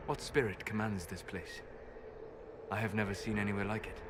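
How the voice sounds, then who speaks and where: A man playing a calm, scholarly mage in a video game, with a precise, contemplative way of talking. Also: fairly low pitch, moderate pace, background noise, natural voice. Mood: awed. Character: calm, scholarly male mage fascinated by spirits. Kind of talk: dramatic video game dialogue. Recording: game audio with loud background ambience.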